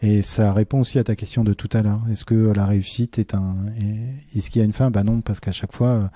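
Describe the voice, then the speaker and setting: A man's voice: lowish voice, fairly even intonation, fairly quick, dark tone, no echo. A man, a teacher, talking earnestly in a casual interview, with many fillers and restarts. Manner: earnest and reflective.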